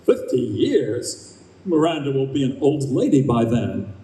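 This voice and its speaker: A man's voice: medium pitch, big pitch swings, unhurried, warm tone. A man playing a stubborn, blustery old former drill sergeant in an old-time radio play. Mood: exasperated. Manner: blustering, insistent. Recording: echoey live stage, distant microphone.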